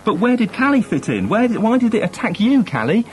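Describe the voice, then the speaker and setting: Man playing a chirpy little spaceman, high and excitable. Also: fairly quick, slight background noise. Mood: chirpy, alarmed. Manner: excitable, questioning. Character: witty little chirpy male sci-fi crewman.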